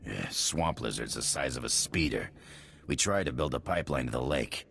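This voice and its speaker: A gruff work boss for a sci-fi game. He has an extremely low voice and a blunt, weary, sarcastic way of talking, over faint noise. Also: expressive, normal speed, fairly bright tone, full character voice. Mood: fed-up. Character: gruff, fed-up male work crew foreman. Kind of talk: video game character acting.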